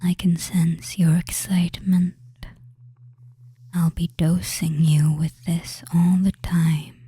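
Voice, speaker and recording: A person whispering a soothing hypnosis roleplay in ASMR style as a doting, controlling fantasy witch, with drawn-out phrases and pauses. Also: medium pitch, level tone, warm tone. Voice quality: breathy, mostly unvoiced whisper.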